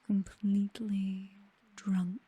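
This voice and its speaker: Dark, whispering British vampire mistress, acted by a woman. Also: medium pitch, flat delivery. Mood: tender, soothing. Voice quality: soft, breathy whisper. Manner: slow, coaxing, hypnotic, teasingly commanding. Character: seductive, possessive vampire mistress, dominant yet tender. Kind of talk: whispered ASMR roleplay.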